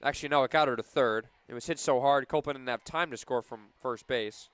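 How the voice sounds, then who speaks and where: A man calling live softball play-by-play for radio, measured between pitches and animated on big plays. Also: clear, resonant tone, no room sound.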